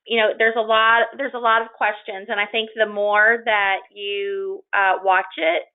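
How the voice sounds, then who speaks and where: A woman of average pitch moderates an informal webinar. She talks conversationally, hesitating and rewording as she goes. Her audio is narrow and tinny, like a telephone line. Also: fairly even intonation, unhurried. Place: online or phone conference call. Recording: tinny, phone-like call audio.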